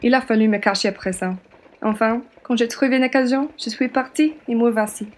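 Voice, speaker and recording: High-voiced, spirited Frenchwoman acted by a woman. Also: a little fast, darkish tone, close to an everyday voice. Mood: indignant, proud. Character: spirited, generous French patriot woman, fiery and plain-spoken. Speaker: student actor. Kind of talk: radio play acting in French.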